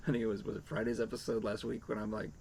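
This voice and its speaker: A man's voice: on the low side, flat delivery, racing, a little mellow. An older man chatting casually and wryly as a podcast co-host on an outdoor porch. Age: 50s or 60s. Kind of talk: casual chat. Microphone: shared desktop microphone on table. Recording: clear ambient noise behind the voice.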